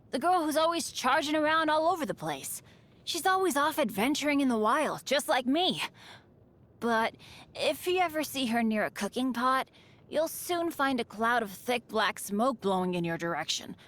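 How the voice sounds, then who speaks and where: A woman's voice: high-pitched, a bit bright. A woman voicing a cheerful, unlucky teenage boy adventurer in a video game, chatty, earnest and self-deprecating. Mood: friendly, chatty. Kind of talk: video game character voice lines.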